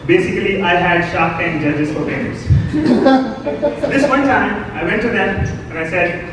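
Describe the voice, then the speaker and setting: A young man from India with an Indian accent, performing a deadpan stand-up comedy set on a club stage, audience laughter behind him. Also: sits high, a little slow, recorded from a distance, noisy background. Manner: deadpan storytelling with acted quotes. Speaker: stand-up comic. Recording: echoey club, mic picked up from afar.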